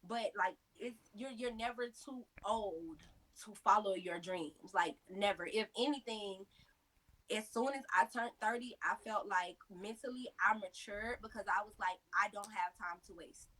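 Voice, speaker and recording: A woman's voice: medium pitch, moderate pace, a bit bright, poor audio. A woman in her thirties answering interview questions with earnest, motivational advice and lots of fillers. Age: 30s. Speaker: interview guest, mother.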